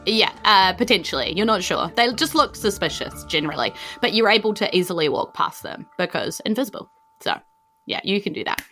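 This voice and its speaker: A woman's voice: mid-range voice, expressive, a little fast, sharp tone, faint music behind. A woman with a New Zealand accent running a tabletop game, improvising her narration in an informal, meandering, conversational way. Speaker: game master.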